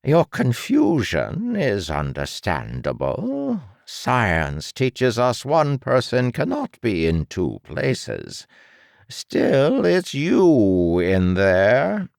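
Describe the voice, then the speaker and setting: A smug, mocking mad-scientist villain from a superhero audiobook, played by a male narrator. He stretches his words with theatrical condescension, swinging widely in pitch over a creaky low register. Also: huge pitch swings, a little mellow, no room sound, clean studio sound, full character voice. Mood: smug, patronizing. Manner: theatrical, condescending, drawn-out delivery.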